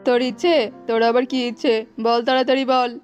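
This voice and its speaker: A woman's voice: high voice, steady tone, fast talker, smooth, close to an everyday voice. A woman voicing a greedy male fox uncle in a children's cartoon, commanding, taunting and menacing. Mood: threatening. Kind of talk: children's animated folk-tale acting. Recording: dull lo-fi audio with music behind.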